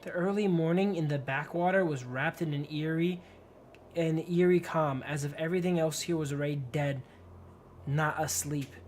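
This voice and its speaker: A man's voice: a little high, steady tone, normal speed, darkish tone, a little hiss or hum. A man livestreaming a game, reading its story text aloud and chatting in slang, stumbling over long words. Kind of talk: narrating game text, casual chat with viewers.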